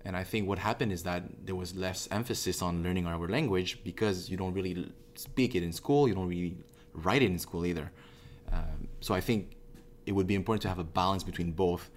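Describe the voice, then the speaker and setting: A man with a very deep voice talks through his ideas in a relaxed discussion. He hesitates and restarts his sentences as he thinks aloud, and a faint hum sits behind him. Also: fairly quick. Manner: hesitant, thoughtful, many restarts. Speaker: conversation partner. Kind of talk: casual discussion.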